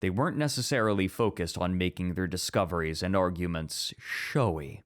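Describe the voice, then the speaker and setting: Man acting a deep-voiced, formal scholar. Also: moderate pace, lightly acted. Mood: debating, reserved. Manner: formal, reasoned, pedantic. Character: measured, scholarly, traditionalist male literature teacher. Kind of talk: scripted ASMR roleplay acting.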